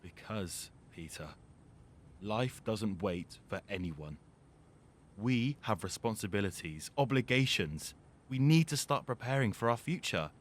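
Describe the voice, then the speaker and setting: A man's voice: animated, unhurried. A young man playing an earnest, troubled teenage lad burdened by growing up, reflective in manner, in an amateur audio drama. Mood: earnest, reproving. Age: young adult. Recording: noisy amateur recording, environmental rumble.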